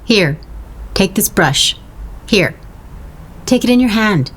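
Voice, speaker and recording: A woman's voice: mid-range voice, animated, takes it slowly, a little hiss or hum. An actress playing a blunt, wry woman friend in an audio drama, dryly sarcastic and coaxing.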